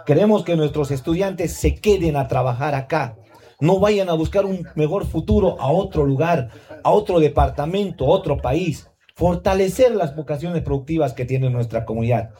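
A man addresses a community gathering with a mellow, soft-edged voice. He speaks earnestly and pleadingly, repeating phrases and checking in with the crowd through tag questions. His pitch sits a little above the average. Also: moderate pace. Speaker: school director giving a speech. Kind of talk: formal public speech at a community event.

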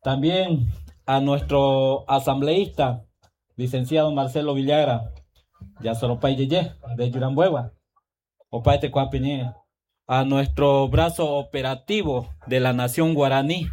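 Male community leader, event greetings, halting delivery, mid pitch. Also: fairly even intonation, a little mellow. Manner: halting, lists greetings and titles, with hesitations. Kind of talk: formal greetings at a public event, mixing Spanish and Guaraní.

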